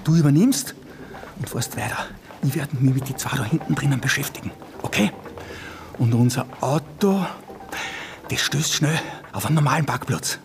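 An actor playing a bossy robber ringleader with a Viennese accent, commanding and urgent in a radio drama. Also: male voice, mid-range voice, expressive, normal speed, creaky, noisy background. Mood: tense instructing. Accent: Viennese Austrian. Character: bossy male robber ringleader giving orders under pressure. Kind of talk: radio drama acting, colloquial dialect.

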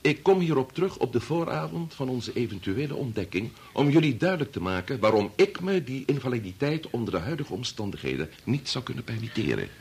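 An actor playing a grave middle-aged chief bacteriologist and family man torn by conscience, speaking formally and deliberately in a radio play. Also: medium pitch, very animated, vocal fry, darkish tone, breathy edge, full character voice. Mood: grave, explaining. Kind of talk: Dutch radio play acting.

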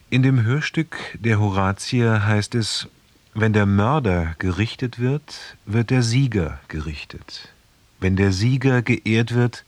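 A man introducing a radio play as announcer, reading scripted narration in a measured, formal manner. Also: fairly low pitch, darkish tone, no echo.

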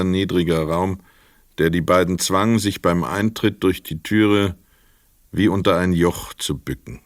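A man's voice: low voice, no echo. A man reading literary narration for a radio play, recorded close in a studio. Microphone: close dry studio mic.

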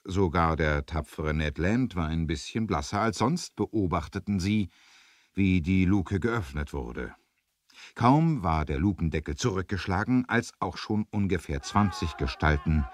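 Deep, animated male storyteller. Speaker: narrator. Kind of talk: dramatic scripted storytelling for 1970s adventure radio play.

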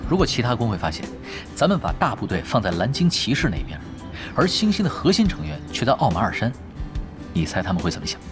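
A man playing a calm, shrewd young gamer strategist in a Mandarin audio drama, explaining schemes with casual confidence. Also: medium pitch, fast talker. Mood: confident, scheming. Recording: music bed under the dialogue.

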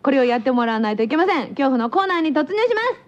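A woman co-hosting a lively radio variety show, teasing and quick to laugh. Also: high voice. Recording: muffled radio recording over music.

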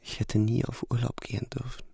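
An actor playing a young German soldier who narrates a radio play inwardly, weary, anxious and guilt-ridden. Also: male voice, medium pitch, little pitch movement, fairly quick, vocal fry, mellow, husky, whispered. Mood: bleak, weary.

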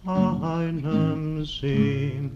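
A woman's voice: sits low, fairly even intonation, extremely slow, over loud music. An actress playing a young noble lady, singing a devout song in a 1940s radio drama. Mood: devout, plaintive. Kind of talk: slow sung performance with plucked lute. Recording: dull, band-limited 1940s radio recording. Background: plucked lute accompaniment.

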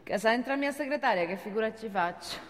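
A woman's voice: a little high, animated, quick pace, slight background noise, natural voice. An actress playing a haughty, secretly lustful female company executive in stage comedy, with an imperious, theatrical manner. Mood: coy, seductive. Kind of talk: comic stage acting. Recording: reverberant hall with music behind.

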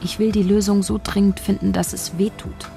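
An actress playing a shy young woman scriptwriter, narrating her own story in a quiet, earnest first-person voice. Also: mid-range voice, flat delivery, a little fast, creaky, natural voice. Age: young adult. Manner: quiet, earnest inner monologue. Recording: studio radio play over music.

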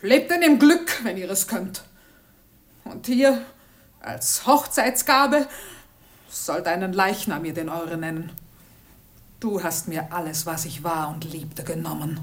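An actress playing a bitter, grieving captive princess, melodramatic and declamatory, in a 1950s German radio play. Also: female voice, fairly low pitch, close to an everyday voice. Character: bitter enslaved princess, vengeful, grieving, despairing.

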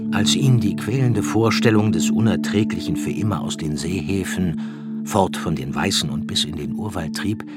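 A man narrating a literary radio drama in a measured storytelling manner, reading from a script. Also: deep voice. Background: music behind the voice.

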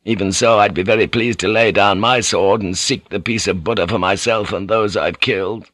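A man's voice: fairly low pitch, steady tone, normal speed, a bit bright, no room sound. A man voicing an old warlord who talks bluntly, testily and wearily. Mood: gruff. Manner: blunt, testy, weary. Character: old male warlord, shrewd, gruff and testy. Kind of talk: audiobook character voice.

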